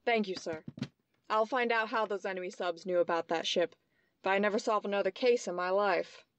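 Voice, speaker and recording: An actress playing a determined young female detective in an earnest, crisp old-time radio drama style. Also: medium pitch, a little fast, close to an everyday voice. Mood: earnest, respectful.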